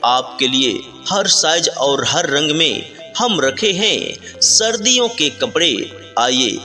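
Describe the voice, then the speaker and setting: A man reading a scripted market sales announcement as a street loudspeaker announcer, plainly urging buyers in a repetitive pitch. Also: animated, slow. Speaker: street loudspeaker announcer for a winter clothing seller. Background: music.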